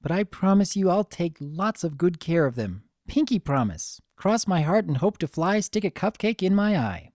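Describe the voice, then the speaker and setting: Man as gentle female storybook pony, tender, sad, earnest, lightly raised pitch, dry sound. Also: very animated, moderate pace, few pauses, no room sound. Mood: solemn, caring. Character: gentle, sad pink cartoon pony mare, motherly aunt. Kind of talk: dramatic fan fiction reading.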